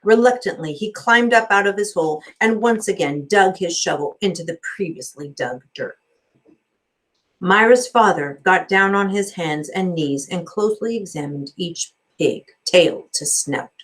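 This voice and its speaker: A woman narrating a children's novel aloud as a teacher would, with expressive storybook delivery. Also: fairly low pitch, a little slow.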